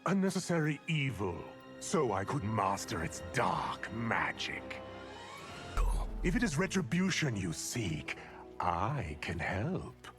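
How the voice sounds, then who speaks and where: Theatrical, sinister evil sorcerer. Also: male voice, on the low side, very animated, takes it slowly. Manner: theatrical, menacing, dramatic. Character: evil male Netherrealm sorcerer, sinister and grandiose. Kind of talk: video game character dialogue. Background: game music.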